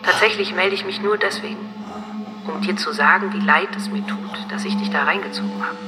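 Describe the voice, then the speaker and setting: A woman playing a soft-spoken, eerily polite, girlish computer intelligence in a German radio drama, breathy and coaxing. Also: lowish voice, brisk, close to an everyday voice. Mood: gently regretful. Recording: long reverb, music underneath.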